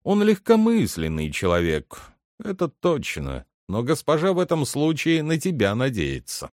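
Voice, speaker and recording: A man's voice: medium pitch, wildly swooping pitch, normal speed, a little mellow, no echo, clean studio sound, voice pushed up. A man voicing an impatient, condescending head butler in a storybook, brusque and commanding as he questions the servants. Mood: probing. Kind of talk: dramatic literary audiobook character reading.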